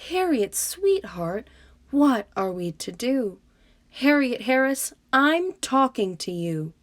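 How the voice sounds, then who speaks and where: A patient storybook mother voiced by a woman reader at the edge of exasperation, gently scolding her child. Her voice sits fairly high and she draws her words out slowly, in a close, dry sound. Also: big pitch swings, no room sound, full character voice. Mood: restrained exasperation. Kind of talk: storybook read-aloud for children.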